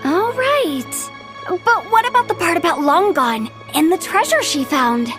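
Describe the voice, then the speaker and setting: A woman's voice: extremely high pitch, big pitch swings, unhurried, music in the background, full character voice. A woman playing a mischievous, imaginative teenage girl in a video game, playful and theatrical. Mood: excited, theatrical. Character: mischievous, imaginative teenage girl who loves ghost stories. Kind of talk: animated video game acting.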